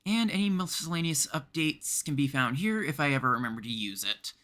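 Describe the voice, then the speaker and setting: A man's voice: fairly high pitch, lively intonation, brisk, sharp tone. A man from Canada chatting casually as he livestreams a game.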